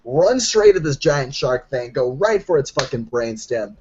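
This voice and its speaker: A man's voice: mid-range voice, sing-song, measured pace, a little mellow, muffled recording, a little hiss or hum. A man playing a brash werewolf telling a battle story in a casual, profane, rambling and boastful way. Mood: excited bragging. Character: brash young male werewolf storyteller bragging about a fight. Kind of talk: in-character tabletop roleplay storytelling.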